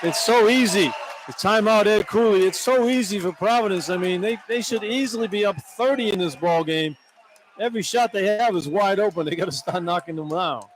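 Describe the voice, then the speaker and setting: Plain-spoken male color analyst, higher-pitched. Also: fairly quick. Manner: plain-spoken, conversational, repeats points for emphasis. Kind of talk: live basketball color commentary. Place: large indoor basketball arena. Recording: noisy live arena broadcast. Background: loud arena ambience.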